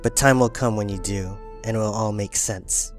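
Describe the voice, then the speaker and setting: A man's voice: lowish voice, normal speed, soft background music. A man hosting and acting in a dramatic improvised Minecraft roleplay. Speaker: gaming roleplay host.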